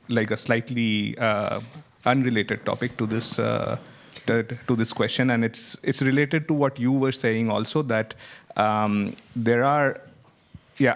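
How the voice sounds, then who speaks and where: A man who grew up in India raises a point from the audience at a panel evening. His delivery is halting, with frequent fillers and repeated words. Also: medium pitch. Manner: halting, many restarts and fillers. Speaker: audience member. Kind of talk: contribution at a panel discussion.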